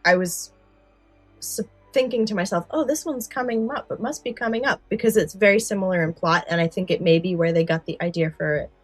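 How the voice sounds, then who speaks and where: A woman's voice: lowish voice, fairly quick, dry recording, faint music behind. A woman co-hosting a podcast in casual chat, recounting favourite stories and often correcting herself. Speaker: podcast co-host.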